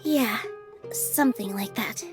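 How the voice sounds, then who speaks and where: An actress playing a gentle, earnest young dancer in a video game, polite and sincere. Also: female voice, on the high side, slow pace. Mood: calm, determined. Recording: music behind the voice.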